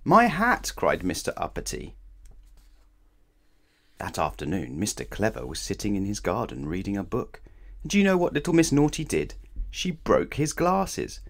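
A man reading a children's storybook aloud in an animated, playful way, speaking straight to young listeners. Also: sits low, moderate pace, gravelly, pauses often. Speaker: storybook reader. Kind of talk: expressive scripted children's story read-aloud.

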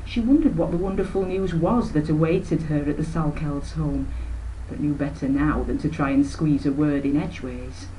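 Low-voiced older woman reading. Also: normal speed, warm tone, poor audio. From Yorkshire, England. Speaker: author reading own novel. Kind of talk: expressive scripted narration. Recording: noticeable background noise, webcam microphone.

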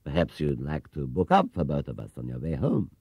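A man voicing a kindly, generous older gentleman shopkeeper in a storybook. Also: extremely low pitch, big pitch swings, fairly quick, breathy edge, dry recording, faint music behind, voice pushed down, exaggerated voice. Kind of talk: storybook character reading. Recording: dull 1970s cassette recording.

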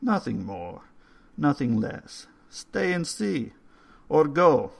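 A man voicing an old undertaker who speaks in a formal, slow and ominous way. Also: a little high, very animated, gravelly, voice pushed up, full character voice. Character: old Hungarian male undertaker, courtly and eerie.

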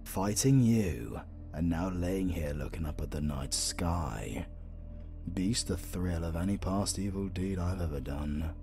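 A man's voice: deep voice, dark tone, faint music behind. A British man playing an arrogant, flirtatious supervillain in a romantic comedy audio roleplay, theatrical and easily exasperated. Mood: weary, wry. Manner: theatrical, swinging from slow menace to exasperated outbursts. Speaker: voice actor.

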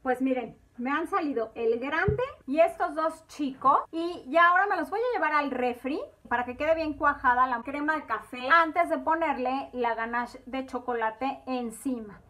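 A woman's voice: high-pitched, moderate pace, few pauses, fairly bright tone. A woman, hosting a home cooking tutorial in her kitchen and chatting viewers through each step. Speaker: cooking channel host.

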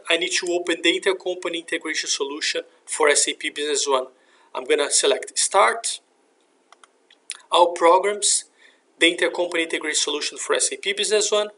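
A man narrating a software demonstration in a step-by-step, instructional way. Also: a little high. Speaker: software demo presenter. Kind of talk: scripted software tutorial narration. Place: screen recording voice-over.

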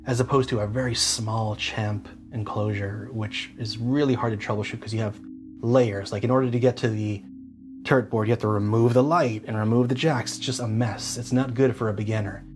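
A man's voice: lowish voice, steady tone, a little fast, over music. Enthusiastic, geeky man explaining a DIY build. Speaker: DIY amp builder and host. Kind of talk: casual hands-on workshop walkthrough.

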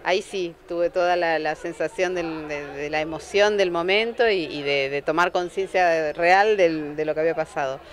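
A woman in her forties with an Argentine accent, a surgical technologist speaking calmly in a street news interview, often restarting her words. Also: low-pitched. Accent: Argentine Spanish. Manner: calm, measured, with frequent restarts. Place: city sidewalk outdoors. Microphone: handheld reporter microphone. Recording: faint street noise behind.

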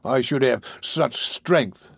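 A man voicing a violent, hulking housebreaker in a Victorian storybook, with a blunt, rough, threatening manner. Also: mid-range voice, moderate pace, fairly bright tone, no room sound, clean studio sound. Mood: savage threatening. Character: brutish, huge, violent male housebreaker, impatient and threatening. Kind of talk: dramatised audiobook of a Victorian novel.

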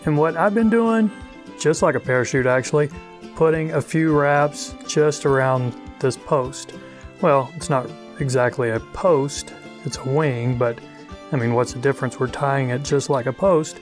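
A middle-aged man narrating a fly tying tutorial in a relaxed, chatty way, thinking aloud as he works. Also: medium pitch, unhurried, faint music behind. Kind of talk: casual instructional narration. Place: outdoors on a wide river.

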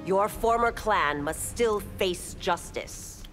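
A woman's voice: mid-range voice, expressive, slow, fairly bright tone, soft background music. An actress playing a stern, dutiful woman constable and warrior in a video game, cold and firm. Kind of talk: dramatic video game dialogue.

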